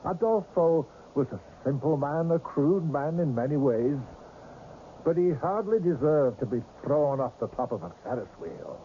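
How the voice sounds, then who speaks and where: An actor playing a cool, condescending older man from a secret tribunal in a radio drama, speaking in a measured, formal, patronising way. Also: a little high, lively intonation, natural voice. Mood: quietly accusing. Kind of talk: 1980s radio drama acting. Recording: muffled, slightly noisy old radio recording.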